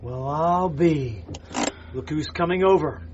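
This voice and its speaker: Wry American reporter, fairly high voice. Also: male voice, takes it slowly. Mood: sceptical, sardonic. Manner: sardonic, informal. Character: dogged, sceptical American newspaperman, wry and dry. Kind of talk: radio drama acting. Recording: muffled, faint outdoor vehicle noise.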